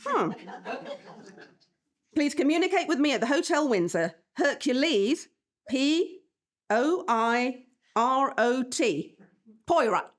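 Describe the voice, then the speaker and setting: A woman plays an unimpressed office clerk in a forties-style radio comedy-mystery. Her voice is on the high side, and she delivers lines with an offhand, wisecracking air. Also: expressive, slow, pauses often. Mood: deadpan reading. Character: wry, unimpressed female rental agency clerk. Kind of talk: live 1940s-style radio drama acting. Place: live performance in a library before an audience.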